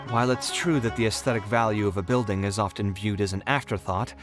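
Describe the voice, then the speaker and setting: A man's voice: lowish voice, quick pace, over music, close to an everyday voice. A man playing an idealistic young architect, earnest and fussy, who explains his design ideas at length. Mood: thoughtful. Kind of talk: game voice acting.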